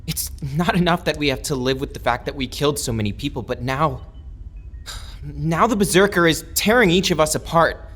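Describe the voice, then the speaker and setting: A man playing an anguished young man haunted by a dark power, halting and pleading, with flashes of anger, in an audio drama. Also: on the high side. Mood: troubled.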